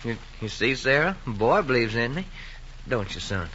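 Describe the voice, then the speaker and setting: A man's voice: mid-range voice, sing-song, moderate pace, pushed and bright. An actor playing a weak, good-hearted farmer father with a Southern American accent and a stammering, hesitant way of talking, in old-time radio drama style. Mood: dejected, apologetic. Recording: muffled, noisy old radio recording.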